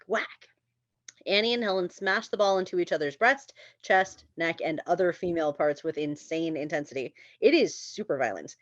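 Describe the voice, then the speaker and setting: A woman's voice: on the low side, dry recording, highs cut off. A woman reading stage directions as scripted narration at a screenplay table read.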